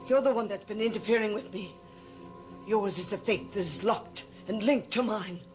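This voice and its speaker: A woman's voice: mid-range voice, moderate pace, music in the background, lightly acted. Woman playing a melodramatic, scheming ancient servant of darkness. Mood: menacing. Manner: melodramatic, imperious, formal phrasing. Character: scheming centuries-old female servant of dark powers, pleading and menacing. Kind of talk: 1960s gothic soap opera acting.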